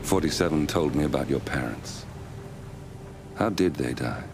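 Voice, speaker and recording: An actor playing a weary, gruff mercenary leader in a video game cutscene, talking tersely and low-key. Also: male voice, moderate pace, music in the background. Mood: grim. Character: grizzled, weary male ex-security mercenary. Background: orchestral score.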